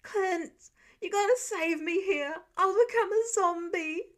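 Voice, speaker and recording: A woman acts out a cheeky teenage boy in a family storybook. The voice is high, and the delivery is playful, full of theatrical mock pleading. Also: slow pace, a bit bright, exaggerated voice.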